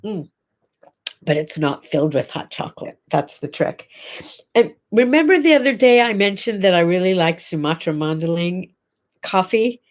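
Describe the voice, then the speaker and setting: A woman's voice: on the low side, lively intonation, moderate pace. A middle-aged woman with a congested, nasal voice, hosting a casual morning livestream chat from her home and chatting directly to viewers. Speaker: host. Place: home bedroom.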